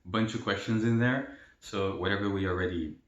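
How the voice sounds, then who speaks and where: Young man, from El Salvador, low voice, casual Q&A vlog, rambling, lots of fillers, roomy echo. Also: normal speed, highs cut off. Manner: relaxed and rambling, many fillers. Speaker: mukbang vlogger. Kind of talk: casual Q&A while eating.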